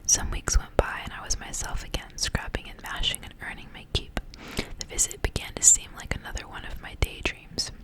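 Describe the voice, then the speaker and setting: A woman reads a story in a hushed whisper, entirely breathy and unvoiced. Her delivery is soft and intimate, in an ASMR style. The phrasing is measured, like a storyteller reading from a book. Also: rough recording.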